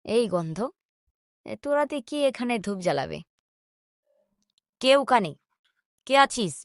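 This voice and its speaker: A woman's voice: medium pitch, very animated, takes it slowly, dry recording, studio quality. A woman voicing a bold, skeptical village boy in a cartoon, with a defiant, cocky manner. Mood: challenging.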